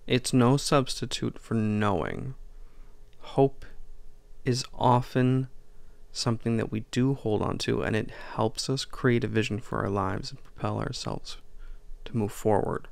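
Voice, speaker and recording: A man delivering a reflective, scripted podcast monologue as host, in a measured, earnest manner with deliberate pauses. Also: mid-range voice, creaky, dry recording. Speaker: podcast host and audio drama writer.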